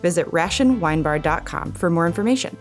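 A woman's voice: on the low side, animated, fairly quick, faint music behind. A woman announcer reading a scripted advertisement. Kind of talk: scripted ad read.

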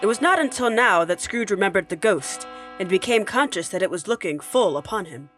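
An actress playing the storyteller narrator of a Victorian Christmas tale in scripted audio play style. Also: female voice, medium pitch, animated, brisk, a bit bright, soft background music, natural voice. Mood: storytelling.

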